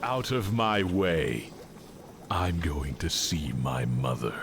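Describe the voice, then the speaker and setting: A man playing a cold, eerily calm super-soldier villain in a video game, speaking slowly and solemnly. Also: extremely low pitch, gravelly, roomy sound, noisy background, full character voice. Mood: cold, detached. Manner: slow, deliberate, solemn. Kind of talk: dramatic video game voice acting. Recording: game audio under loud music.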